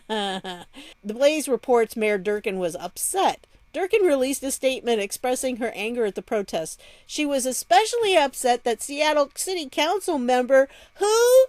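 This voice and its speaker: A woman's voice: high-pitched, lively intonation, moderate pace, a little mellow, no echo. An American woman giving casual news commentary, reading articles aloud in a wry, informal way with chatty asides. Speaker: online commentary host.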